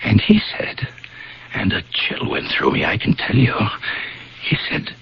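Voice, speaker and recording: Earnest, wildly expressive troubled friend. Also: male voice, on the low side, a little slow, vocal fry, breathy edge, dry recording, exaggerated voice. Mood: troubled, hushed. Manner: earnest, dramatic, hesitant. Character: earnest, troubled male friend recounting eerie events. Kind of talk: radio mystery drama acting and first-person narration. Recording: dull, noisy vintage radio broadcast.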